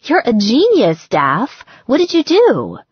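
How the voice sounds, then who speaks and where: A woman's voice: on the high side, wildly swooping pitch, slow, breathy edge, dry recording, lo-fi sound, voice pushed up, exaggerated voice. A woman voicing an impulsive, affectionate young bride in a romance audiobook, earnest and gushing in a lighter voice. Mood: delighted.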